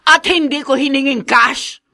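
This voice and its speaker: A woman's voice: sits high, unhurried, pushed and bright. An actress in a Tagalog radio drama playing a hard, grasping woman who scolds and demands money. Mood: scolding. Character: hard, grasping mother-in-law type demanding debt repayment.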